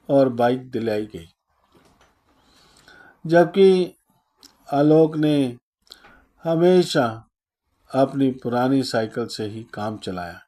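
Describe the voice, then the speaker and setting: A man narrating a scripted moral story in a solemn, deliberate manner with frequent pauses. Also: medium pitch, dark tone.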